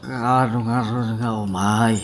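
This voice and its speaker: A man acting as a measured but insistent, lovesick boss in an Ilocano radio drama. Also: mid-range voice, steady tone, slight background noise, close to an everyday voice. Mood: yearning. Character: insistent lovesick boss pining to see a woman.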